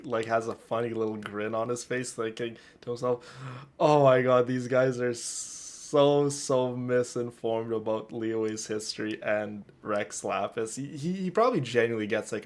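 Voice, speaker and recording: A man livestreaming a game with casual, chatty commentary, often halting and repeating words. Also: lowish voice, gravelly.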